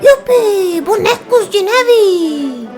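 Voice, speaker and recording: Small whiny witch's companion for kids' animation, Brazilian accent. Extremely high, childlike squeak, slow drawn-out delivery. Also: lively intonation, faint music behind, full character voice. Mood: excited. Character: small excitable companion of a young witch, whiny when cold. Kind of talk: children's cartoon dubbing.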